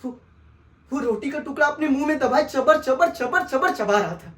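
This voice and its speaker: A woman performing a dramatic monologue as a desperate orphaned son, pleading with a sahib, stammering and swinging between hushed and wailing delivery. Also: fairly high pitch, animated, measured pace. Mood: haunted. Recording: echoey room.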